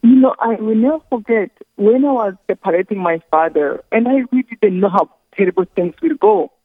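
A woman from Rwanda, speaking slowly and earnestly as a guest on a phone interview, often pausing mid-thought. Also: medium pitch, no echo. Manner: slow, earnest, halting with careful pauses. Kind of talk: phone interview, personal testimony. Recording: tinny phone line.

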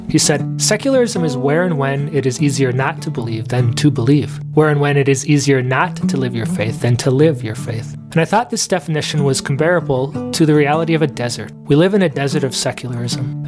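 An American man of medium pitch speaks as a priest over clear guitar music. He delivers a scripted, reflective radio message.

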